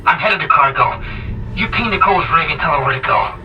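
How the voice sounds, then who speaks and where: Game role: man voicing wounded, dogged space engineer, breathing hard, slightly high voice, on a muffled far-off radio link. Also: animated, brisk, natural voice. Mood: pained resolve. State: pained, exhausted, breathing hard. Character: wounded, exhausted, determined male space engineer. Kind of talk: video game voice acting. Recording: lo-fi noisy radio transmission, distant.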